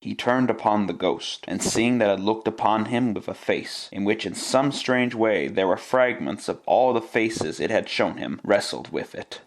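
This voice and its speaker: A man with a low voice narrates a literary tale in classic radio style. He delivers it as scripted dramatic storytelling. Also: normal speed, hardly any pauses, rough recording.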